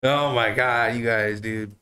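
A man hosting a casual livestream from his living room couch, talking into a desk mic on a stand. He jokes loosely, laughs often and swears freely. His voice sits at an ordinary middle pitch for a man. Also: steady tone, unhurried, bright, forceful tone, clear, resonant tone. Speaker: talk show host.

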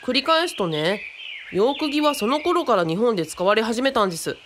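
Woman as a friendly boyish kid explaining stuff, radio drama, really animated, faint birdsong. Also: on the high side, normal speed, a little hiss or hum, close to an everyday voice. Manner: casual, patient explaining.

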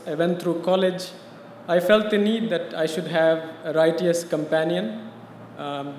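A man's voice: a little high, darkish tone, slight background noise. A man from India, a guest at a podium in a large hall, talking conversationally about his life and often saying "you know". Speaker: guest interviewee. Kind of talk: personal story in a live interview. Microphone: podium mic. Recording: roomy hall reverb, faint ambience.

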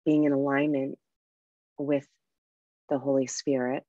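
Soft soothing lady guiding meditation, pretty flat pitch, dry but kinda muffled recording. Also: no echo. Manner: soft, unhurried, soothing delivery with pauses. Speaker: meditation guide and coach. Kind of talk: guided meditation with breathing instruction.

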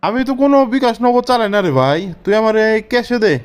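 A man's voice: extremely high pitch, lively intonation, quick pace, clear, resonant tone. A man voicing an easygoing, slick village shopkeeper in a comic cartoon, with chatty colloquial patter and a rural Bangladeshi accent. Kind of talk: comic Bengali cartoon dialogue.

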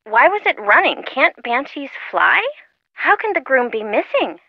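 A chatty American teenage girl in a video game, teasing and sardonic. Her pitch is on the high side and swings around a lot. The sound is dry and a bit lo-fi. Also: sing-song, a little slow, no echo, full character voice. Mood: breezy, teasing. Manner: breezy, sardonic, gossipy. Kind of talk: video game phone-call dialogue.

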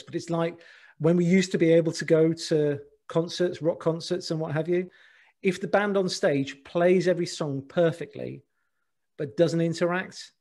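Animated middle-aged man on a video call. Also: on the high side. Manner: animated, gesturing, many restarts and repeated words. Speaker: presentation agency head, guest. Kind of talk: conversational podcast interview. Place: home office with bookshelves. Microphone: video call webcam.

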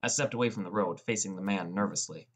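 A man's voice: on the low side, fast. A man reading scripted first-person story narration as an audiobook narrator.

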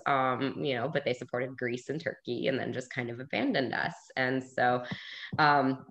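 A woman's voice: a bass voice, fairly bright tone. A woman in her thirties from Bulgaria, guest speaker on a video call, talking earnestly with lots of fillers. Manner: earnest, conversational, many fillers. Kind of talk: casual talk on a video call. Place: room at home, indoors. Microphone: video call webcam.

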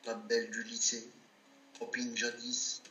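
Male poet, unhurried declamatory recitation of love verse, mid-pitched voice over music. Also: completely flat delivery, sharp tone, echoey room.

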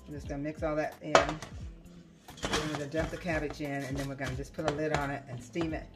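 A woman's voice: low-pitched, flat delivery, brisk. A woman helping cook at home, narrating the steps in a plain, matter-of-fact way. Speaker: host's mother, co-cook. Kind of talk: casual cooking narration. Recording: distant from the mic, with music.

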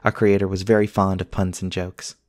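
A man playing a polite, earnest companion robot in an ASMR roleplay, speaking in formal, measured, precise sentences. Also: sits low, flat delivery, a little mellow, no echo, studio quality, lightly acted. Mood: courteous, helpful. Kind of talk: scripted ASMR roleplay acting.

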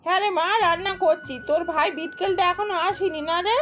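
Very high-pitched fussy village mother, acted by a woman. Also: fairly even intonation, brisk. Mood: worried, scolding. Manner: homely, chiding, fussy. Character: fretful, scolding village farm wife and mother. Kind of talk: amateur cartoon dubbing. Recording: music under the voice.

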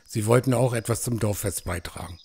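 A man playing a calm, protective village hunter who takes charge in a fantasy audio drama, firm and placating. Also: on the low side, a little fast, husky.

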